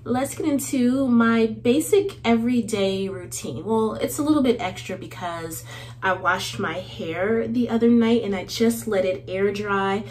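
A woman's voice: medium pitch, a little slow, a little mellow. A woman in her thirties hosting a get-ready-with-me video in her bathroom, chatty and laughing often, sounding a little nasal from a cold. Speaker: beauty vlogger host. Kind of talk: casual get-ready-with-me chat. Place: small indoor bathroom.

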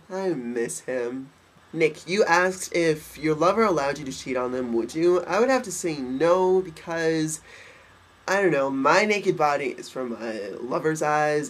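A young man with a stuffed, nasal voice, talking in a campy, cheeky way to his webcam in a bedroom. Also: fairly high pitch. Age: young adult. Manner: campy, cheeky, quick asides. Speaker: vlogger. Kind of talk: casual webcam vlog. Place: bedroom, indoors. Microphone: webcam at desk.

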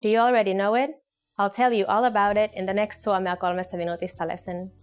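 Young woman teaching phrases slowly. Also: medium pitch, steady tone, soft background music. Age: young adult. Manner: clear, slow model pronunciation of phrases. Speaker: language teacher. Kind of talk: scripted lesson to camera.

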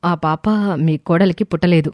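A woman's voice: on the low side, steady tone, a little fast, no room sound, highs cut off, close to an everyday voice. A woman voicing a female doctor in a story, evasive and uneasy, with a hesitant, deflecting manner. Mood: frightened.

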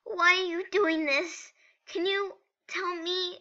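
Casting: excitable, theatrical skeleton brother played by a woman, high, sharp voice, earnest and emphatic, comic dub. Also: slow pace, dull audio, heavily acted. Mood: pleading. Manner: dramatic, pleading, emphatic. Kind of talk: amateur comic dub acting.

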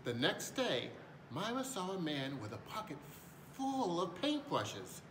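A man reads a picture book slowly, with hugely animated swings of pitch and dramatic pauses. His voice sits fairly high for a man, in an echoey, noisy room. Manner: dramatic pauses, voicing the characters. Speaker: school staff member reading aloud. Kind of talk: expressive picture book read-aloud. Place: indoor room at a school. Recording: echoey room, clear background noise.

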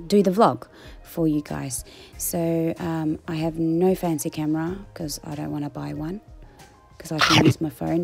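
A woman, chatting casually in a home kitchen while cooking and eating, talking in a relaxed, rambling way with many ums. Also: low-pitched, vocal fry. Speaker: food vlogger. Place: home kitchen and dining table indoors. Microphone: phone on a tripod.

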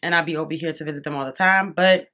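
A woman's voice: low-pitched, steady tone, quick pace, sharp tone, highs cut off. A young woman vlogging casually to camera from her bedroom, chatty and eating as she talks, a little congested. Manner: chatty, addresses viewers as y'all, eats while talking. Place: bedroom at home.

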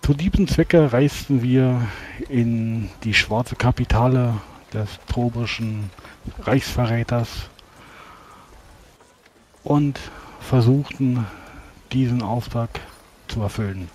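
A man plays a formal battle mage in a tabletop game. He speaks courteously and with halting care, in a mid-pitched voice. There is some faint noise in the recording. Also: a little mellow, close to an everyday voice. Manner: polite, formal, halting address.

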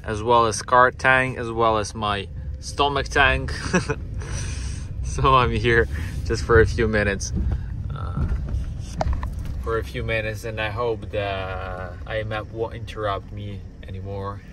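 Excitable young trucker, loud road noise. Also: male voice, lowish voice, takes it slowly. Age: young adult. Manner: excitable, exclaims bam and whoa. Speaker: truck driver vlogger. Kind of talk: casual vlog to camera. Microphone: phone or camera held at arm's length.

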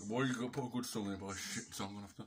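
A man's voice: on the low side, fairly even intonation, very fast, husky. A young man from England, losing his voice, rambling jokily to camera at home and narrating himself in the third person. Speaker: vlogger. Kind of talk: casual rambling self-commentary. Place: small dim living room at home. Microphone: webcam or phone camera at close range.

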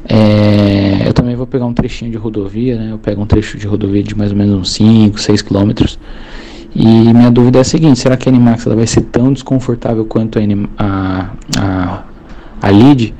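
Brief: Paulista young man, twenties, low mellow voice, polite and hesitant caller. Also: fairly even intonation, brisk. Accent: Paulista Brazilian Portuguese. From São Paulo. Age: 20s. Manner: hesitant, polite, many fillers.

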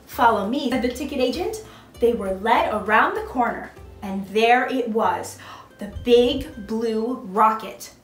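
A woman's voice: on the high side, expressive, takes it slowly, soft background music. A young adult woman narrating a children's storybook expressively and putting questions to small children. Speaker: costumed storytime host reading as a princess. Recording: echoey room.

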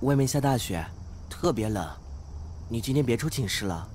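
A man's voice: mid-range voice, expressive, moderate pace, a little mellow, slight background noise, close to an everyday voice. A young man acting a mild, earnest, bookish male student in a radio drama. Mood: mild, caring. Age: young adult.

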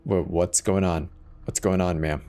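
A low-pitched male emergency dispatcher , played by a man, speaks in a steady, reassuring way. It is a horror audio drama performance, with faint music beneath the voice. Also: measured pace, clear, resonant tone. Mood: concerned. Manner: calm, reassuring, soft-spoken urging.

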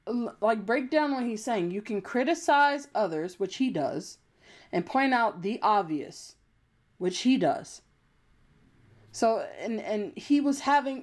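A woman's voice: on the high side, darkish tone. A young adult woman with a youthful voice, delivering a blunt, emphatic, unscripted commentary rant with frequent swearing. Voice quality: young-sounding voice. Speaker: YouTube commentator.

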